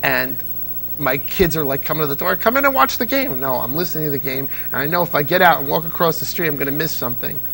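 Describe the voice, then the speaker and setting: A man's voice: a little high, expressive, quick pace, vocal fry, sharp tone, slight background noise, poor audio. A man hosting a casual interview, telling stories with enthusiasm and frequent restarts. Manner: enthusiastic storyteller, restarts often. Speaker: interview host. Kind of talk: casual nostalgic interview chat.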